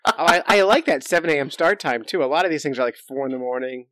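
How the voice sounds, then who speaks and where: A man's voice: fairly high pitch, expressive, fast, pushed and bright, no room sound. Joking, informal man with a dry sound. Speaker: podcast host, interviewer. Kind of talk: casual interview chat.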